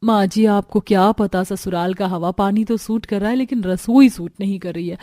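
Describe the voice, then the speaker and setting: A woman voicing a young Indian daughter-in-law, polite but easily frustrated, in an earnest, expressive cartoon family story. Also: medium pitch, moderate pace, no room sound, studio quality, close to an everyday voice. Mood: wistful.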